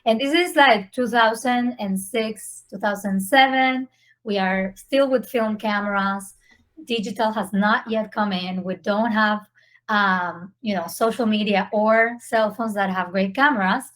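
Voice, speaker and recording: A woman's voice: mid-range voice, measured pace. Woman in her 30s from Venezuela, podcast guest, earnest storyteller. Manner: warm, earnest, with small slips in phrasing. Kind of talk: casual interview storytelling.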